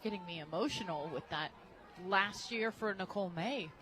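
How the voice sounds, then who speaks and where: An American woman giving conversational color commentary on a softball radio broadcast, as an analyst. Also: medium pitch, normal speed. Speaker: color analyst, former player. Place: stadium radio booth, open to outdoor noise. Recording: clear outdoor ballpark noise.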